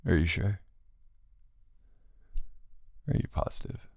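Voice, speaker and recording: A man improvising an intimate boyfriend roleplay as a teasing, affectionate roommate, talking in a casual, halting way with a low, gravelly voice. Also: no room sound, studio quality. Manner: casual, halting, unscripted delivery. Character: grumpy, teasing, affectionate male roommate turned lover. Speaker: audio roleplay performer.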